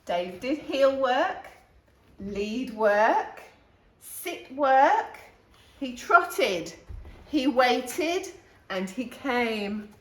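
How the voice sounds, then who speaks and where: A woman reading a children's picture book aloud in an animated way, with exclamations and asides to young listeners. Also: high-pitched, drawn-out words. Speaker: storytime reader. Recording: roomy, echoing room sound.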